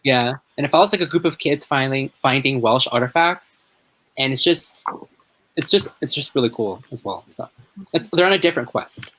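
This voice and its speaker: A woman's voice: very deep voice, lively intonation, moderate pace, a bit bright, low-quality recording. A woman co-hosting a book discussion in casual chat, rambling a little hesitantly and self-deprecatingly.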